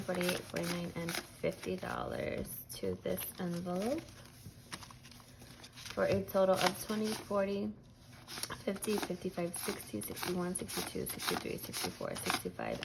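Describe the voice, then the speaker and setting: Hoarse, gravelly woman counting cash aloud. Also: on the low side, measured pace. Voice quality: raspy. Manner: counts bills aloud in steady rhythm. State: hoarse from losing her voice. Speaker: budgeting vlogger. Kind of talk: casual chat while counting cash. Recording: noisy home recording.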